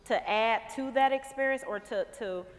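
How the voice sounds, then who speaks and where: A woman's voice: a little high, moderate pace. A woman panelist speaking earnestly and emphatically in a discussion. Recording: echoey hall, long reverb.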